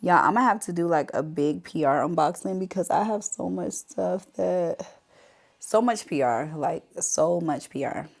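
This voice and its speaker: A woman's voice: lowish voice, lively intonation, unhurried, vocal fry. A woman with a stuffy nose from a cold, chatting casually to viewers through a makeup tutorial. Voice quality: stuffy, congested. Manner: chatty, talks straight to viewers as "y'all". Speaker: beauty vlogger.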